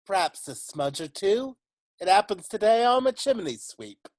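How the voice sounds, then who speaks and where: A man's voice: high-pitched, big pitch swings, normal speed, no room sound. A man playing a cheerful, kindly London chimney sweep in an amateur musical read-through, folksy and reassuring. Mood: cheerful, friendly.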